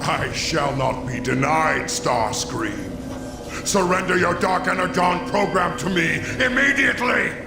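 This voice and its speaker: A gravelly, high-pitched robot warlord. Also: male voice, lively intonation, measured pace. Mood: cold, menacing. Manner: grandiose, imperious. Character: commanding tyrannical male robot warlord, ruthless. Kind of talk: dramatic video game acting. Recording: loud music and battle noise behind.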